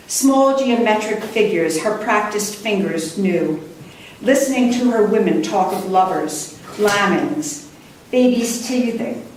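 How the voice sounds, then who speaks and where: A woman poet reading her own work live at a book launch, with a measured, deliberate delivery and careful pauses. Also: mid-range voice. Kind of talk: live poetry reading with brief introductions. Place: indoor room before a live audience. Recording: distant mic, echoey room, faint noise.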